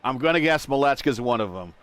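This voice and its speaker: Male lead commentator, online racing broadcast, mid-pitched steady play-by-play that lifts at green flags. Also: fast talker, dry recording. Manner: steady play-by-play, rising loud and high at restarts.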